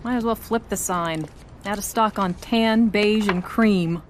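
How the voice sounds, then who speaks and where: An actress playing an easygoing, quirky paint shop owner in a video game, chatty and wry. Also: female voice, medium pitch, a little slow, faint music behind, close to an everyday voice.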